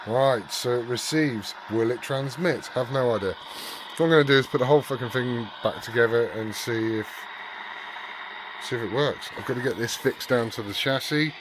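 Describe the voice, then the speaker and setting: Man chatting casually over loud-ish background noise. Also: mid-range voice. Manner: informal, sweary, muttering to himself while working. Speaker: hobbyist video host. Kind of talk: casual hands-on repair vlog chat.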